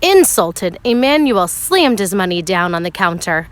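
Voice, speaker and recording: Animated woman reading a storybook aloud. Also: medium pitch. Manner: measured storytelling with dramatic pauses and emphasis. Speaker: teacher reading a picture book aloud. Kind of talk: expressive storybook read-aloud narration for children.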